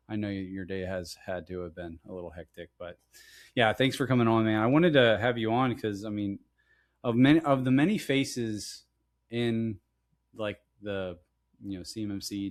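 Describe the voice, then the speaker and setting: A man hosting an interview podcast over a video call, talking in a friendly, rambling way with lots of restarts and some teasing. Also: on the low side. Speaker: podcast host. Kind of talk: casual interview chat.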